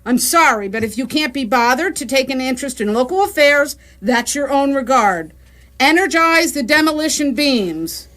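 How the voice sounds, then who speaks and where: A woman's voice: high voice, animated, normal speed, fairly bright tone. A woman playing an officious, condescending, impatient alien bureaucrat in a comic science fiction radio play. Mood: exasperated. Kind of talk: radio comedy drama acting.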